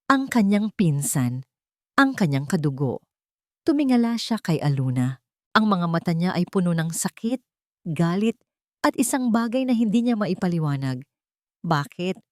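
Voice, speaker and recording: A woman's voice: fairly low pitch, sing-song, normal speed, dry recording. Woman narrating drama, close and dry. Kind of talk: dramatic scripted story narration.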